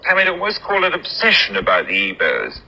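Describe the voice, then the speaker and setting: A man's voice: a little high, wildly swooping pitch, brisk, bright, forceful tone, rough recording. A man with a British accent asking questions as a journalist in a formal interview, on old archival audio. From Britain.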